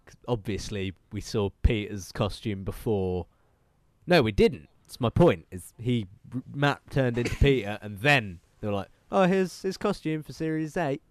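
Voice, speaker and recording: A man's voice: mid-range voice, animated, unhurried, dry recording. A man with a bunged-up, congested nose rambles through a casual podcast chat, swearing freely and laughing easily. He sounds close to the mic in a dry, dead room. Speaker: podcast co-host.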